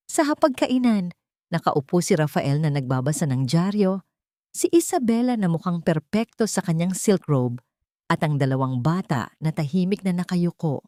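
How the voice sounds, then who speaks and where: A woman's voice: deep voice, dark tone, no echo, clean studio sound. A woman narrating a scripted dramatic story as its narrator.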